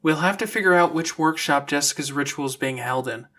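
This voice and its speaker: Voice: medium pitch, fairly quick, pushed and bright. A narrator voicing a sensible, wry and caring teenage girl in an audiobook. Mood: practical.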